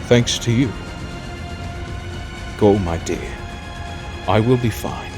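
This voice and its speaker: A dignified, fatherly king, played by a man in an audio drama. His voice is fairly low and his phrasing is formal and stately. Soft music sits behind him. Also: slow. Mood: calm, resolute. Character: dignified, resolute aging king, calm and fatherly. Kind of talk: fan audio drama acting.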